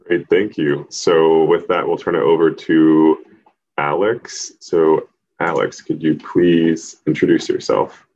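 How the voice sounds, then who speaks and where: A man moderating a university webinar panel over a video call, talking in a measured way with many fillers. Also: extremely low pitch, flat delivery, a little mellow, dull audio, slight background noise.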